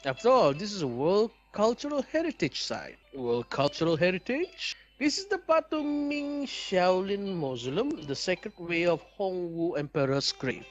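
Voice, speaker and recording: A fairly high-voiced man narrates a visual novel playthrough aloud. His pitch swings widely as he reads, and music plays behind him. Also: big pitch swings, moderate pace, few pauses, dull audio. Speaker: video game player and narrator.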